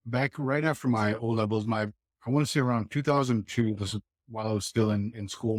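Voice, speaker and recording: A man's voice: on the low side, no room sound. Pakistani-born man telling his story. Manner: reflective, steady, with frequent restarts. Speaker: podcast guest. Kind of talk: casual interview storytelling.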